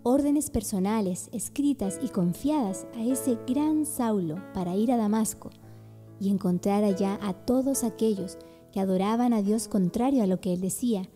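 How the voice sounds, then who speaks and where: A woman's voice: medium pitch, moderate pace, warm tone, soft background music. A woman giving sermon-like expository narration in a reflective way.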